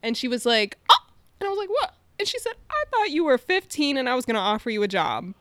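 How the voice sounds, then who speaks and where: A woman's voice: high voice, big pitch swings, fast talker, a bit bright, dry recording. A woman in her twenties co-hosting a podcast, telling stories in a casual chat full of "like". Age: 20s. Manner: chatty, storytelling with lots of "like". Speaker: podcast co-host.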